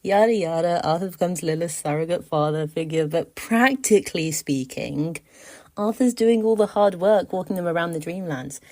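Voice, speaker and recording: A woman's voice: fairly low pitch, moderate pace, mellow. A woman giving a casual, persuasive voice message as a listener, with a wry, mock-serious manner. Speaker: listener sending a voice submission.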